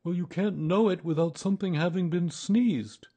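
A man voicing a small, humble, slow-witted bear from a children's storybook, speaking simply and earnestly. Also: sits high, mellow, slightly rough, voice pushed up. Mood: puzzled, insistent.

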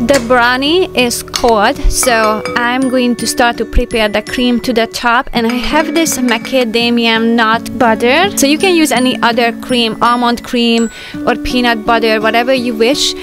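A middle-aged woman talks through laundry, folding and baking in an unhurried, explanatory voice-over, her phrasing a little non-native. Her voice sits fairly high. Gentle music plays faintly beneath her. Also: fairly even intonation. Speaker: homemaking vlogger. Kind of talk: voice-over narration of home chores.

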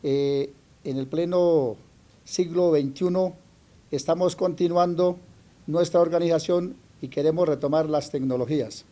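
Man, mellow warm tone, slightly high, measured, earnest. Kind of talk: interview talk.

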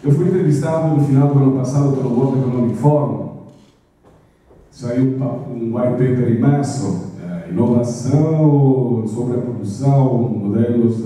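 A man's voice: mid-range voice, dark tone. A middle-aged man with an Italian accent giving a conference talk on stage, hesitant with many filler sounds. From Italy. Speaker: speaker at a business event. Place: large auditorium with a stage. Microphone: handheld mic, far camera. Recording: echoey hall from far off.

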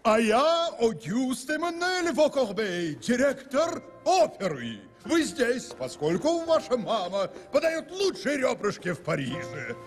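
In an echoey hall, a grand, jovial cartoon opera director. His very high, husky voice is theatrical and pompous, with courtly politeness. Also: sing-song, a little slow, hardly any pauses, faint music behind, full character voice.

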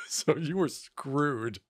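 A man's voice: medium pitch, extremely slow. A man chatting casually and emphatically about tabletop game lore as a podcast panelist.

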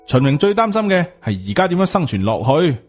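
A man's voice: a little high, very animated, fairly quick, soft background music. A man with a Hong Kong Cantonese accent, narrating a scripted supernatural story as an audiobook reader.

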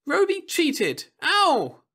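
A stubborn little girl in a story, put on by a male narrator. The voice is pushed very high and comes out whiny, insistent and demanding. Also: wildly swooping pitch, extremely slow, pushed and bright, heavily acted. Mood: indignant.

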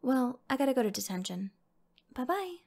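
A woman's voice: high-pitched, sing-song, normal speed, vocal fry, darkish tone, heavily acted. A woman playing a sociable, friendly teenage schoolgirl in an amateur audio drama. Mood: casual.

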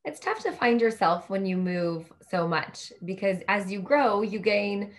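Woman, interview host, mid-pitched voice, empathetic, short open questions. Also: a little slow.

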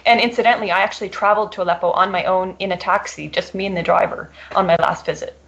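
A woman speaking at a middle pitch, answering an interviewer in earnest. She restarts sentences and leans on "you know" as she builds her points. The audio is dull and lo-fi. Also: fairly even intonation, fast, a bit bright. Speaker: interview guest reporting from abroad.

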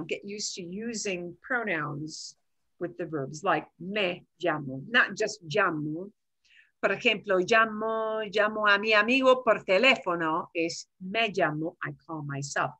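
An older woman in her sixties leads an online Spanish lesson through a headset mic. Her voice is bright and forward, and she moves easily between Spanish and English, explaining step by step with chatty little asides. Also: mid-range voice. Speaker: Spanish teacher. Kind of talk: online class teaching. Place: home video call.

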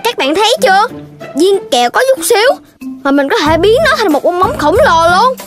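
A voice actor playing a cheerful little puppy and his young friends in a cartoon, in a chirpy, excitable childlike voice. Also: extremely high pitch, moderate pace. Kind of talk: cartoon dubbing. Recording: cartoon with music underneath.

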